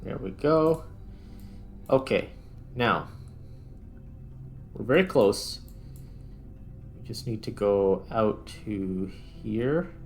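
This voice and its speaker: A man's voice: on the low side, expressive, drawn-out words, pauses often, faint music behind. A man giving casual gameplay commentary, thinking aloud as he plays. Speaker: gaming video host.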